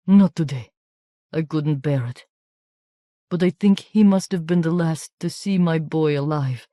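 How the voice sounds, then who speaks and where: A woman's voice: deep voice, a little slow, dark tone, no room sound, clean studio sound. A woman reading a grieving, scheming baroness.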